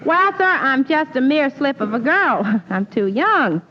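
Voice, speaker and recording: A sassy, scatterbrained young boardinghouse gal in 1940s radio comedy. Her voice is high and she takes her folksy lines slowly. The recording is muffled, with some hiss. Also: very animated, long reverb tail. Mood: coy boasting. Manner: folksy malapropisms, comic timing.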